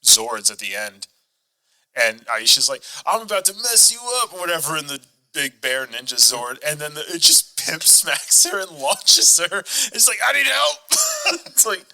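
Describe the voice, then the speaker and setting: A man's voice: fairly high pitch, very animated, a little slow, vocal fry, pushed and bright, low-quality recording. A man co-hosting a podcast in casual chat, joking and acting out little quips as he talks. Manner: jokey, acts out quips. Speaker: podcast co-host.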